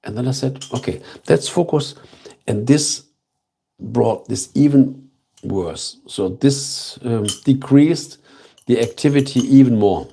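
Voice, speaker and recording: A man with a German accent hosting a casual live stream, chatting slowly and thoughtfully with viewers and repeating himself between fillers. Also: mid-range voice, gravelly, mellow, breathy edge. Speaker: livestream host.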